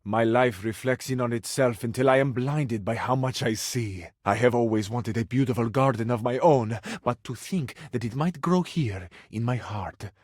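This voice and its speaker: A man plays a living cabinet from a romance game, a passionate Italian dreamer with a mid-pitched voice. He speaks in florid, dramatic phrases and lingers over his words. The sound is close and dry, with a strong theatrical flair. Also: moderate pace, few pauses, no room sound, natural voice. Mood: romantic, rapturous. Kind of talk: theatrical video game voice acting.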